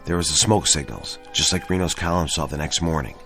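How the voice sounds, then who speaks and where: An actor playing a grave, commanding army sergeant in a radio drama, intense and deliberate. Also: male voice, very deep voice, a bit bright. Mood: grave, brooding. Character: grave, commanding male army sergeant, convinced of an eerie theory. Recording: music bed under radio drama dialogue.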